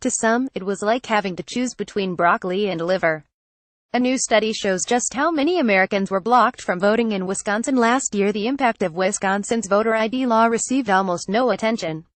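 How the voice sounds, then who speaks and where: Woman narrator, mid-pitched, steady reading of a news feature, dead-dry booth sound. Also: brisk, dry recording. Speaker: narrator reading a magazine article.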